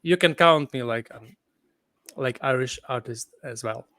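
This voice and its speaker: Ukrainian guy on a video call late at night, sleepy, slow, lots of uhs and likes, smooth voice. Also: mid-range voice, bright, forceful tone, dry recording. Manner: halting non-native English with many fillers. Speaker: guest guitarist. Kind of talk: casual interview chat.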